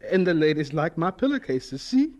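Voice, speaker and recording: An actor playing an earnest, dreamy young homesteader in a radio drama, with a wistful, folksy, heartfelt way of talking. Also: male voice, a little high, very animated, moderate pace, a little mellow. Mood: cheerful, proud. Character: earnest, dreamy young homesteader, later recalling his life.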